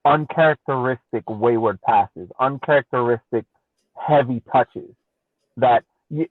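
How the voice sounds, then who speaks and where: A man's voice: mid-range voice, no echo. Man on a thin, phone-like line. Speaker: podcast co-host and soccer fan. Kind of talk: casual post-game sports analysis.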